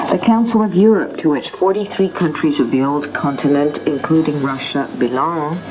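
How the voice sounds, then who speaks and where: A woman reading a scripted international radio news bulletin in a formal, measured announcer style over a shortwave broadcast. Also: rumbling low, phone-quality sound. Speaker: radio newsreader. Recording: noisy band-limited shortwave radio broadcast. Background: loud shortwave static and noise.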